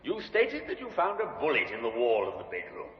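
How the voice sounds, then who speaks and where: A sharp defense barrister fires off crisp, probing questions in a lively, rising and falling voice. The old broadcast sounds muffled, with a long, roomy echo. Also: male voice, mid-range voice, a little fast. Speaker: defense counsel. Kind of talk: 1950s radio drama acting. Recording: dull echoey old radio recording.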